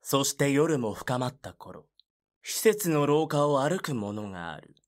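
An actor playing the solemn narrator of a dark voice drama, reading scripted narration. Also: male voice, mid-range voice, expressive, measured pace, close to an everyday voice.

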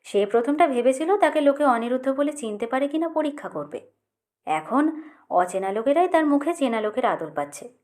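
Woman, audiobook narrator, higher-pitched voice, expressive literary storytelling. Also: normal speed.

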